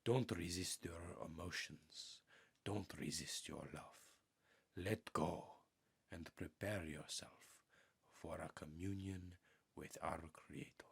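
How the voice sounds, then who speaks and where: A man reading a spiritual audiobook in an earnest, measured, meditative way, with deliberate pauses and a husky, gravelly voice. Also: low-pitched. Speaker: audiobook reader.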